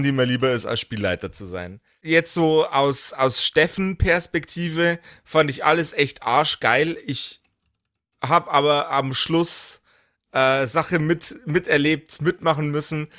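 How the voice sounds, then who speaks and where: A man's voice: a little high, fairly bright tone, dry recording, studio quality. A man talking casually and hesitantly as a podcast co-host, searching for words and using slangy language. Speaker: podcast co-host, usually game master. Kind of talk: casual chat looking back on a role-playing game.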